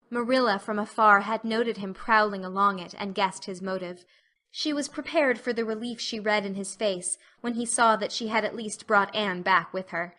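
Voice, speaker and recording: A woman's voice: medium pitch, pushed and bright. A woman narrator reading a story aloud as scripted audiobook narration.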